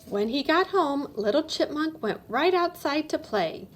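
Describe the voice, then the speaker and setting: A woman reads a children's story aloud in a high voice with lots of melodic movement. Her delivery is expressive storybook narration, recorded with faint noise in the background. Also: unhurried. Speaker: storybook reader.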